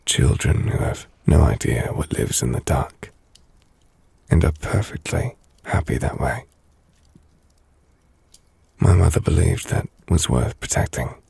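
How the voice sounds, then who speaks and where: Gentle, brooding male vampire noble, acted by a man, ASMR roleplay. Breathy, husky, unhurried and measured, close and intimate. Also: a bass voice, no echo, heavily acted. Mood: reflective, gentle. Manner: slow, hushed, measured, formal. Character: brooding, gentle, melancholy male vampire-blooded castle lord. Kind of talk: ASMR audio roleplay, intimate scripted monologue.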